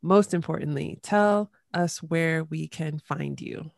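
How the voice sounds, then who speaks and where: A woman hosting a podcast, asking her guest a rapid string of friendly questions. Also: low voice, creaky, dry recording, clean studio sound. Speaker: podcast host. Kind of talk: casual interview questions.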